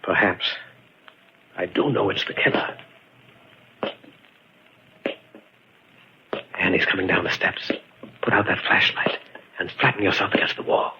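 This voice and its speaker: An actor playing a calm, deductive detective in a 1940s radio mystery, speaking gravely and deliberately. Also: male voice, sits low. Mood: hushed tension. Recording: noisy, muffled vintage radio recording.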